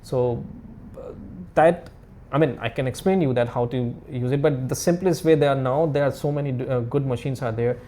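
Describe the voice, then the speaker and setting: A man speaking as an expert guest in a casual interview, explaining in halting, repetitive, non-native English phrasing. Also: medium pitch, mellow, a little hiss or hum.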